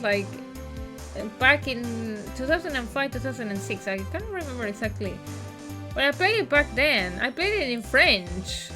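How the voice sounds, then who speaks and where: A woman in her thirties streaming a game, chatting casually and rambling with many restarts. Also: fairly high pitch.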